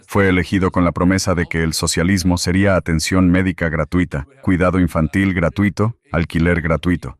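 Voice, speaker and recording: Male guest commentator, very low voice, dry close sound, emphatic, repeats himself. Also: steady tone, a little fast, few pauses, dry recording. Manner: emphatic, repeats key phrases.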